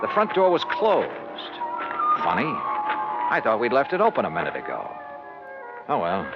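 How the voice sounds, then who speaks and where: Tough 1950s radio detective, muffled sound. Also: male voice, medium pitch, wildly swooping pitch, moderate pace. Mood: wry, musing. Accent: American. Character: tough, dogged male insurance investigator narrating his case. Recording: muffled old radio broadcast with loud music.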